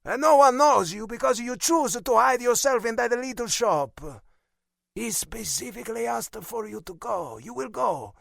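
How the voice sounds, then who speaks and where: A man acts out a stern, controlling older father with an Italian accent. He speaks in curt, disapproving commands, pitched up and emphatic. It is audiobook character work, recorded very dry. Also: animated, normal speed, creaky, fairly bright tone, no room sound, full character voice. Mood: commanding, stern.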